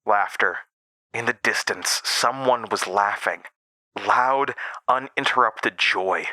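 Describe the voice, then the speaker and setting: An actor playing a haunted man who recounts frightening childhood memories in halting, uneasy phrases for a horror audio drama. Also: on the low side, pushed and bright, no room sound, heavily acted. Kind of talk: first-person horror audio drama narration.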